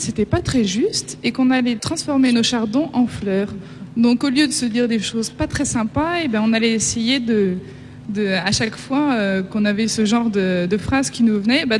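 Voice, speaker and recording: A woman's voice: a little high, a little mellow. A woman in her twenties from Paris, with a French accent, giving an informal personal testimony. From Paris, France. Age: 20s. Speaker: young witness giving a testimony. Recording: reverberant hall, faint hum.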